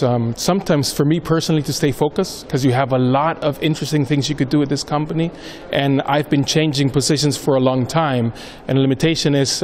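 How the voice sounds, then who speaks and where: A man's voice: mid-range voice, darkish tone, a little hiss or hum. A man in his thirties from Honduras, a native Spanish speaker with an American-schooled accent, answering interview questions conversationally with frequent fillers. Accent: American-schooled Honduran English. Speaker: strategy manager at a postal company.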